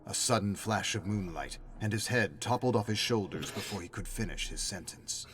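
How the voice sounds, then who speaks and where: A man's voice: lowish voice, lively intonation, moderate pace, a bit bright, a little hiss or hum. A man reading a story as a narrator, in a dramatic scripted style.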